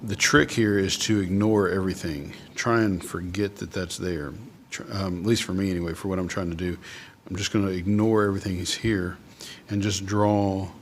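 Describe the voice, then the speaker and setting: Male artist-host, lower register, casual musing demo delivery with trailing thoughts, faint background noise. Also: flat delivery, normal speed, few pauses. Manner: musing, thinking aloud, trailing off. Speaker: artist hosting a drawing video. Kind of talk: casual unscripted art demonstration talk.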